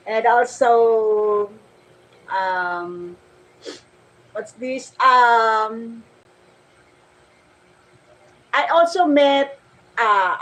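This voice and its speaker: A woman with a Filipino accent chatting casually to her viewers as a vlogger, in a halting, giggly manner. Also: on the high side, low-quality recording.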